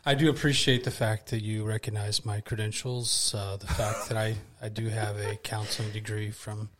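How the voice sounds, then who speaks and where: A man's voice: on the low side, flat delivery, normal speed, fairly bright tone. A man, a church pastor, playing a mock therapist on a podcast in a dry, joking manner. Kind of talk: playful mock therapy session.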